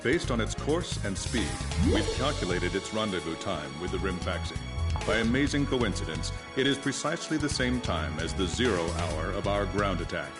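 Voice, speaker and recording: An actor playing a stern commander, giving a measured mission briefing in a video game. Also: male voice, low voice, lively intonation, loud music behind the voice. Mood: grave, firm. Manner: measured, authoritative. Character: stern male military commander briefing pilots. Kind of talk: scripted military briefing.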